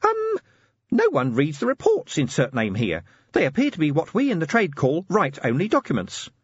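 A man voicing a tiny, eager, pedantic male imp in a very high, prim voice. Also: wildly swooping pitch, normal speed, husky, highs cut off, exaggerated voice. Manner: prim, fussy, very high-pitched.

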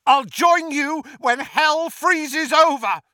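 A man voicing a brave teenage boy wizard in an audiobook, loud and defiant. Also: very high-pitched, takes it slowly, no echo, studio quality, pitched above the performer's own voice, heavily acted.

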